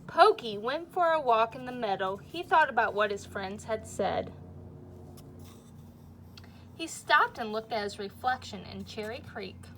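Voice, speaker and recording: A woman's voice: on the high side, lively intonation, measured pace, fairly bright tone, slight background noise, low-quality recording. A woman reading a children's storybook aloud like a teacher, with simple explanations and questions for young listeners.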